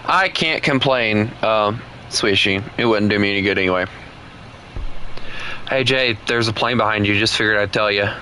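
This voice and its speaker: A man's voice: lowish voice, normal speed, bright, forceful tone, a little hiss or hum. A man streaming a flight game with a friend, chatting casually in a playful, teasing way. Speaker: gaming streamer. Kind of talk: casual gameplay chat with a friend.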